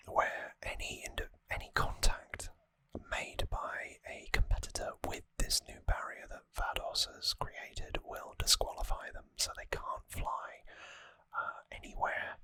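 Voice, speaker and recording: A person whispering an ASMR recap in a hushed, breathy, conversational way, close to the microphone. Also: poor audio. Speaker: ASMR host. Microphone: close, dry microphone.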